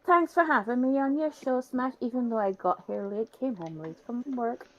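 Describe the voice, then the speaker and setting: A woman's voice: on the high side, moderate pace, a bit bright, highs cut off. A woman from Guyana chatting casually as a guest on a gaming show. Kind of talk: casual chat.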